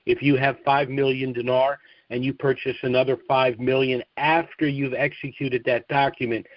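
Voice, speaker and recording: A man hosting a business conference call and question session, explaining things in a halting way with stammered restarts. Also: medium pitch, level tone, no echo. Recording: dull lo-fi call audio.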